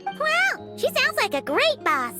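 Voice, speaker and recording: A woman voicing a tiny, childlike companion creature in a video game, squeaky, bubbly, emotional and affectionate. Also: wildly swooping pitch, takes it slowly, full character voice. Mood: chirpy. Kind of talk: video game character acting. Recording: music playing behind the voice.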